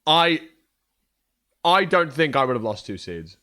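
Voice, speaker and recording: A young man with a hoarse voice, chatting casually and joking on a livestream. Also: on the high side, sing-song, a little slow, sharp tone. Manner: joking, trash-talking, swears a lot. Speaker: streamer.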